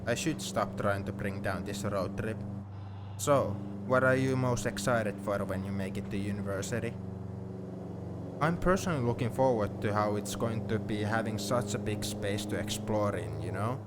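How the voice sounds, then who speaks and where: Male actor as sentimental young best friend, low voice, intimate roleplay delivery, teasing but sincere, car noise behind. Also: normal speed, close to an everyday voice. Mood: gently teasing. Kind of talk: intimate ASMR audio roleplay. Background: car ambience.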